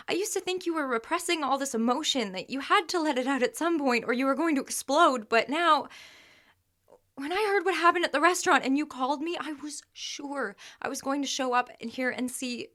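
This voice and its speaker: An actress playing an exasperated young girlfriend in an audio drama, speaking emotionally and haltingly, often dropping to a murmur. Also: high-pitched, fairly bright tone. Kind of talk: naturalistic audio drama acting.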